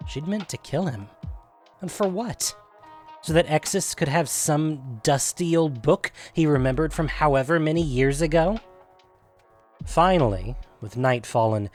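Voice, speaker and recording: A man's voice: mid-range voice, darkish tone. A man reading scripted fantasy fiction as the narrator of a story podcast. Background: faint music.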